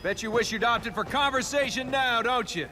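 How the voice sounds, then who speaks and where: An actor playing a young, roguish, wisecracking adventurer in a video game, with sarcastic, flippant banter. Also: male voice, very high voice, normal speed, heavy background noise. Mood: wry, teasing.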